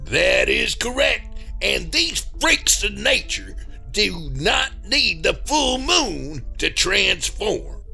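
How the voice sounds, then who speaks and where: A man playing a folksy, mock-formal rural Southern monster hunter in a comedy horror audio drama, with a gravelly, raspy voice. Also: high-pitched, wildly swooping pitch, slow, sharp tone, faint music behind, exaggerated voice. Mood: grave storytelling.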